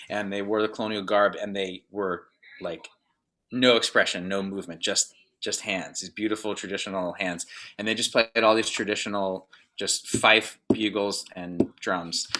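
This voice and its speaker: Bright-toned man chatting about his youth. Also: lowish voice. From Northern Virginia, US. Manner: rambling, many "like" fillers and restarts. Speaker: guest drummer. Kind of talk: casual interview chat.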